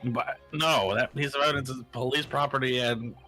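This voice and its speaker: A man voicing a defensive, blustering police inspector in a courtroom video game, speaking haltingly. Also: mid-range voice, steady tone, bright, forceful tone, voice pushed up, close to an everyday voice.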